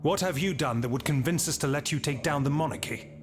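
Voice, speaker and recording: An actor playing a combative, indignant political delegate with a challenging, forceful delivery in a video game cutscene. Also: male voice, a little high, fast talker, close to an everyday voice. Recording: music behind the voice.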